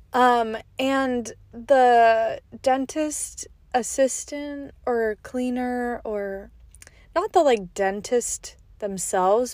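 A young woman with a slightly high, stuffy, nasal voice from a cold rambles through a casual story, sprinkling in "like" and little laughs. She records alone in her car, and the sound is close and dry with no room echo. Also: a little mellow. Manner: rambling, full of "like", laughs between asides. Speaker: podcast host. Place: inside a parked car.

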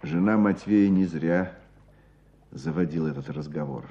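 An actor playing an earnest, compassionate storyteller, narrating village life in a radio drama. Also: male voice, deep voice, normal speed, slightly rough. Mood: storytelling. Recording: dull muffled radio play recording.

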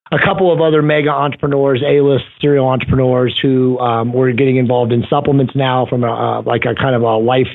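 Man in his forties over a tinny phone line. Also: mid-range voice. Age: 40s. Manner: rambling, many fillers and restarts. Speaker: podcast guest. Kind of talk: casual interview talk.

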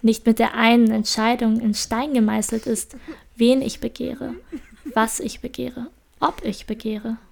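A woman's voice: on the high side, flat delivery, a little slow, vocal fry, a little mellow. A woman in an audio drama giving an earnest, reflective spoken monologue with repeated phrasing. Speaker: performer.

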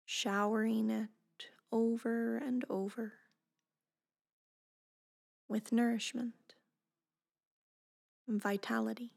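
Female meditation guide: soft, breathy, gentle, very slow and level, near-whispered endings. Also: on the high side, flat delivery. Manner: gentle, unhurried, deliberate instruction.

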